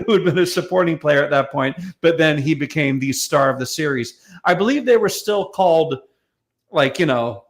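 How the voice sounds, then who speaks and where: An American man chats as a guest commentator with a wry, well-informed manner. His voice sits a little high for a man. He stammers and restarts as he feels his way through a point. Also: lively intonation, moderate pace. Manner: hesitant, stammering, wryly knowledgeable. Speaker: guest commentator on classic film and radio. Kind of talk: casual discussion.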